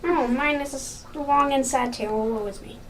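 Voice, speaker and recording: A female performer playing a touchy, excitable, self-pitying talking mouse in an amateur radio play. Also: high voice, moderate pace, natural voice. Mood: woeful.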